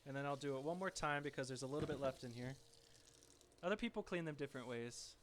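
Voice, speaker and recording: A soft-spoken man chatting casually with viewers as he cooks and cleans up in his home kitchen, reading chat messages aloud. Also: medium pitch, fast, a little hiss or hum. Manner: relaxed, chatty, talks to viewers while working. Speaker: streaming home cook.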